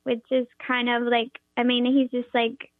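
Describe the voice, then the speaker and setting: A young woman with a high voice, a performer and teacher, talking in an interview with lots of hesitant fillers and restarts. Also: completely flat delivery, normal speed, no echo. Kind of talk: interview conversation. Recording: tinny phone-like line, dry.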